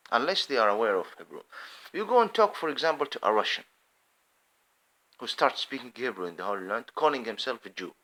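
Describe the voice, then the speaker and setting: A man delivers a fervent, meandering monologue, repeating himself and restarting sentences as he goes. His pitch leaps and falls with lively swings, and his tone is bright and forceful. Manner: impassioned, rambling, many restarts. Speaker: video commentator. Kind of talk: unscripted monologue.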